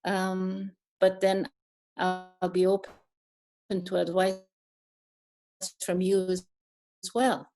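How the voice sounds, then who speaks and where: A middle-aged woman from Iran giving an online talk on her career, speaking thoughtfully with many pauses, fillers and restarts. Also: mid-range voice, monotone, dark tone. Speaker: guest speaker, neuroscientist.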